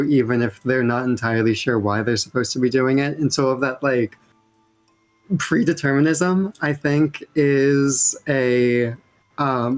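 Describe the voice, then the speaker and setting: Halting mid-pitched man chatting. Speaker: amateur voice actor on a panel. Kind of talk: casual chat.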